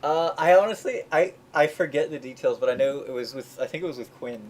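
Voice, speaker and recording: A man's voice: on the high side, fairly quick. A young man, a game developer, rambling in casual chat, often restarting his sentences and laughing at himself. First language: English.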